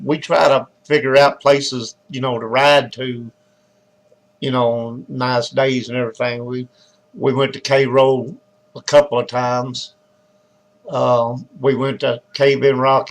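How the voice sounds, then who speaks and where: Man, Southern accent, hoarse and raspy, gravelly, rambling live-show host. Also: medium pitch, poor audio. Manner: loose and chatty, greets viewers by name, many restarts. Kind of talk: casual rambling live-stream chat.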